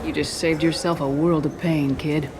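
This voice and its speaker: Game role: low-voiced female commander played by an actress, blunt, terse, in control; clear background noise. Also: little pitch movement, moderate pace, natural voice. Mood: cool, confident. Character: hard-nosed commanding female military commander, impatient, in control. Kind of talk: video game voice acting.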